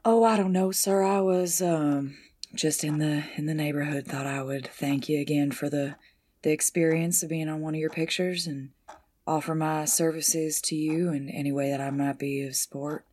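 A woman's voice: low voice, steady tone, moderate pace, dark tone, husky. A woman playing a deferential, guarded stunt driver in an audio drama, speaking politely with folksy, careful hesitations. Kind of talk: 1920s-set audio drama roleplay.

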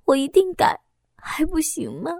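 A woman's voice: high voice, wildly swooping pitch, normal speed, gravelly, a little mellow, dry recording, clean studio sound, pitched above the performer's own voice, full character voice. A woman doing a tearful, pleading guilty wife. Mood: soft pleading. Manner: tearful, begging.

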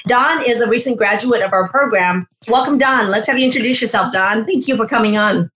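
A woman's voice: fairly high pitch, fairly quick, fairly bright tone, slight background noise, poor audio. Upbeat woman hosting a health show. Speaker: podcast host and physician.

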